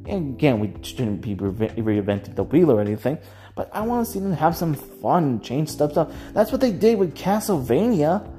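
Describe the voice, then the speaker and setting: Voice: medium pitch, very animated, normal speed, dark tone, faint music behind. A person giving casual, rambling solo commentary as a host, with a hesitant way of talking that circles back over phrases.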